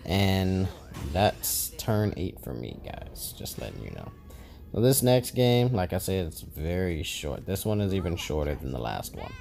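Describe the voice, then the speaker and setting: Gaming YouTuber, male, deep dark voice, laid-back rambling commentary. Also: unhurried, gravelly, faint music behind. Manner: relaxed, rambling, self-correcting. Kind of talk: casual gameplay commentary.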